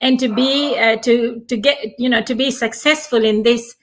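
A middle-aged woman from Fiji speaks in a fairly high voice, reflective and unhurried. She often restarts her thoughts and pauses on fillers as she talks things through. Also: fairly even intonation. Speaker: guest. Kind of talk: casual conversation.

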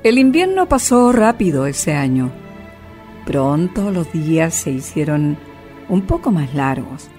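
Woman narrator, dark warm tone, slow, scripted storytelling, music behind. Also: low-pitched, big pitch swings.

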